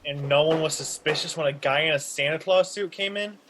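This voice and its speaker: A woman playing a calm, methodical police detective in an audio drama. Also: sits low, a little hiss or hum. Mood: inquiring. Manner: measured, methodical.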